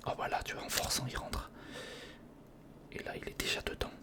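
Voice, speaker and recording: Man whispering breathy ASMR commentary. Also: fairly quick. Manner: murmured, fragmented, thinking aloud. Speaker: ASMR video creator. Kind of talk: whispered ASMR, casual hands-on commentary while building. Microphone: close recorder microphone.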